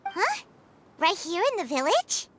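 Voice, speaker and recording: A woman voicing a small, excitable, huffy fairy companion with a squeaky childlike voice in a video game. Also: unhurried, a little hiss or hum, full character voice. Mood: puzzled. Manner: refers to self in third person, exclamatory. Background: music.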